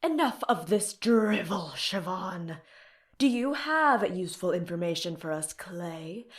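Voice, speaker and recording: Imperious female fey councillor acted by a woman, lowish voice, slow, contemptuous delivery, fantasy audio drama. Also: lively intonation. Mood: scornful. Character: haughty, impatient, scornful female council elder.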